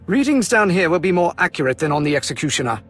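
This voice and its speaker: An actor playing a stern, impatient military colonel in a video game, clipped and commanding. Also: male voice, fairly high pitch, big pitch swings, fast, fairly bright tone, faint music behind. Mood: brisk, stern.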